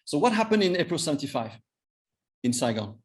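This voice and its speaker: French-accented man, halting trainer's talk. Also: on the high side, very animated. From Vietnam and France. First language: Vietnamese. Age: 50s. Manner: halting, repeats words for emphasis. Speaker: cross-cultural trainer and coach.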